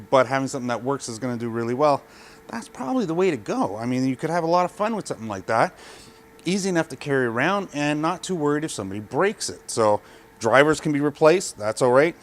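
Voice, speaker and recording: A man giving an unscripted product review in a plain-spoken, informal, matter-of-fact way, speaking into a body-worn mic. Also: mid-range voice. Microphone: body pack mic.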